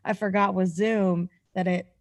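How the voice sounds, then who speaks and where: A woman chatting casually as a podcast host, animated and giggly, with some casual swearing. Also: mid-range voice, normal speed, no room sound, clean studio sound. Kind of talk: casual chat.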